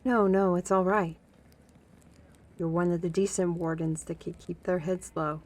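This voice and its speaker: Unhurried wry young fantasy woman, played by an actress. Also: on the low side, steady tone, a little mellow. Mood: wry, measured. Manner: unhurried, conversational, speaking directly to a listener. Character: young fantasy woman Vinci, wry, guarded, gently teasing. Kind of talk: scripted roleplay audio acting, ASMR.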